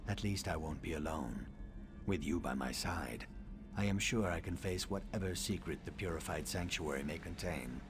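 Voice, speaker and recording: An actor playing a scholarly reptilian assassin in a video game, speaking in a measured, formal, dryly sardonic way. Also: male voice, sits low, over music. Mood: resolute. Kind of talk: video game dialogue acting.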